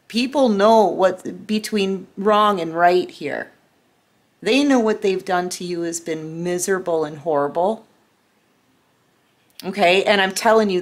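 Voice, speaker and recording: Female tarot reader, mid-range voice, intimate and emphatic, talks directly to one listener at a time. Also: medium pitch. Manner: earnest, emphatic, addresses listener directly with repeated check-ins. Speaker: tarot reader and channel host. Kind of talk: intimate spoken tarot reading to camera. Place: indoor room at a tarot table.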